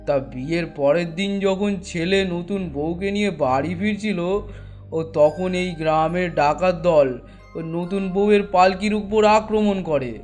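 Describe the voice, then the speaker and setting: A man playing a concerned village man in an animated horror story, talking like a local storyteller. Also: high-pitched. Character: concerned village man, startled, explaining grim local history.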